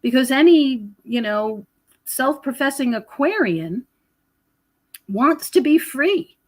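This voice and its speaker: A woman's voice: high voice, lively intonation, slow. An American woman speaking conversationally as a podcast guest, explaining her ideas with emphasis. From United States. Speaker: podcast guest, researcher of prophecy and UFOs.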